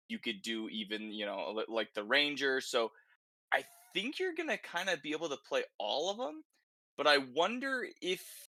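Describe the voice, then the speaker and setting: Bright-voiced man chatting casually on a podcast. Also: mid-range voice, no room sound. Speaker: podcast co-host presenting game details. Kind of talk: casual podcast discussion of a video game.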